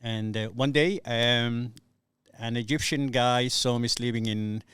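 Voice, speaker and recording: Middle-aged guy from somalia telling his story, smooth clear voice, lots of uhs, dry close sound. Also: mid-range voice, steady tone, a little slow, a bit bright, dry recording. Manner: earnest, hesitant storytelling with many fillers. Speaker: guest. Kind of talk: casual podcast interview.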